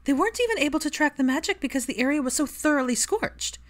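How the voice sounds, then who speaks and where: A sharp-tongued young woman as a female audiobook reader puts her on, raised in pitch and loud. She is brash and incredulous, and she leans on her words with open sarcasm. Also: animated, quick pace. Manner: emphatic, sarcastic delivery. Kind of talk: acted audiobook dialogue.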